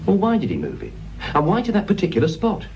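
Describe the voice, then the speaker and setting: A man's voice: high voice, huge pitch swings, quick pace, a little mellow, highs cut off, a little hiss or hum, full character voice. A man playing a keen, insolent younger detective with a British accent, urgent and needling in a radio crime drama. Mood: eager, reasoning.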